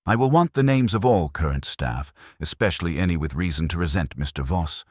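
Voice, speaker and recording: Guy doing a pedantic imperious male detective for an audiobook, really low voice, formal, dry sound. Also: expressive, fairly quick, a little mellow, slightly rough, no room sound. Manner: terse, formal, pompous. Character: imperious, pedantic, heavyset middle-aged male genius detective.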